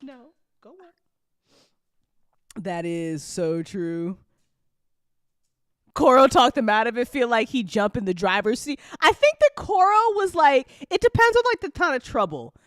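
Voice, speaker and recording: A woman talks off the cuff in a casual after-show chat, her voice on the high side and close and dry. She rambles through stories in an animated, slangy way, swearing freely and slipping into little acted-out quotes. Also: unhurried, pauses often, dry recording. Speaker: audio drama creator chatting on a live after-show.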